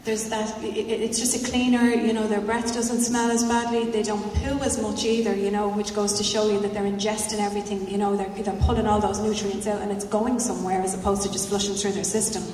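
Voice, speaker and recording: Woman panelist, mellow and rambling, recorded from far off in an echoey hall. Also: medium pitch, little pitch movement, a little fast, few pauses. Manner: rambling, full of "you know" asides. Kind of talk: panel discussion answer. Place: large hall at a festival panel. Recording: roomy hall, distant mic, faint noise.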